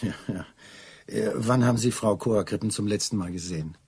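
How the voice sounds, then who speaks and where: An actor playing a polite, probing London police inspector who questions with murmured, hesitant insistence in a radio play. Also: male voice, on the low side, a little fast, vocal fry, darkish tone, breathy edge, exaggerated voice. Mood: quietly insistent. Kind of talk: German radio drama dialogue.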